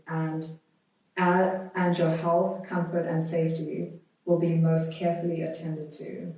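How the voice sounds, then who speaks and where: A woman guest on a podcast, talking conversationally and reading aloud in a measured, deliberate way. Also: on the low side, warm tone. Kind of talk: conversational interview, reading a historical notice aloud. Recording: very echoey room, distant microphone.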